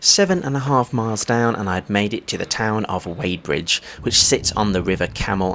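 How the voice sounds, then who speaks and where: A man's voice: on the low side, fairly bright tone. A man in his early thirties talking casually as a vlogger about his run, partly outdoors on an action camera mic. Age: early 30s. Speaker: vlogger, amateur long-distance runner. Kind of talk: casual running vlog talk and narration to camera. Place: outdoors on a coastal trail for much of it. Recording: outdoor wind and ambient noise.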